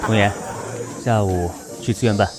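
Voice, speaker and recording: A man's voice: lowish voice, normal speed, a crowd in the background, lightly acted. A man acting a composed, reserved young law professor in an audio drama. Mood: calm, firm. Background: music.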